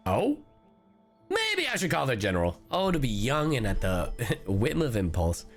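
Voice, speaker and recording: A man reacting casually as a live game streamer, expressive, campy and sweary. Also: medium pitch, moderate pace, faint music behind.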